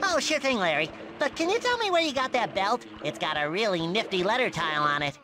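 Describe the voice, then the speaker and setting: A man voicing a naive, eager, childlike sea sponge in a cartoon video game, squeaky, nasal, earnest and chirpy. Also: sing-song, a little fast. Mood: chirpy, pestering. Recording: clearly noisy game audio.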